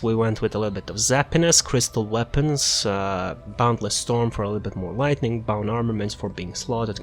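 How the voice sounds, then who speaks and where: A man casually explaining a video game build as he plays, improvising and correcting himself as he goes. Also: lowish voice. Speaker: gamer presenting a character build guide. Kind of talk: casual gameplay guide commentary.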